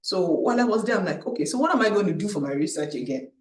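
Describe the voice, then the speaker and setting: Woman, panel speaker, lively storyteller who voices her own thoughts. Her voice is miked from a distance and fills a reverberant room. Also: medium pitch, racing. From Nigeria. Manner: animated storytelling, acts out her own thoughts, tags lines with "right?". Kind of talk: personal talk at a public event. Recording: echoey room, distant mic.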